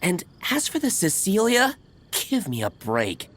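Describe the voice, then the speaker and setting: A woman's voice: medium pitch, wildly swooping pitch, measured pace, a little hiss or hum. A woman voicing a blunt, offhand young townsperson in a video game, with a shrugging manner. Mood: flippant.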